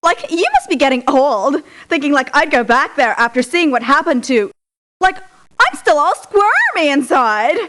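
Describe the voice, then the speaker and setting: Squeamish ditzy young mercenary, American Valley girl accent, high swooping voice, whiny, video game acting. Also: sing-song, moderate pace, a bit bright. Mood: dismissive, squeamish. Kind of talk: theatrical video game voice clips.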